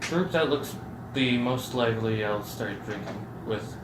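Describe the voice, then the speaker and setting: A man's voice: lowish voice, measured pace, far from the mic. Guy at the table saying what his character does, short and to the point, echoey noisy room. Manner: short, decisive statements of action. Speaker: player. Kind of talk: tabletop roleplay. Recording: echoey room, clear noise.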